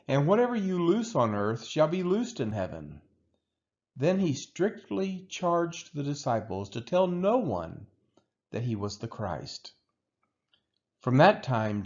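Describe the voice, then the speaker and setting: A man reading scripture aloud in an expressive style, with deliberate, emphatic phrasing. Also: mid-range voice. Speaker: Bible reader. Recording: dull lo-fi sound.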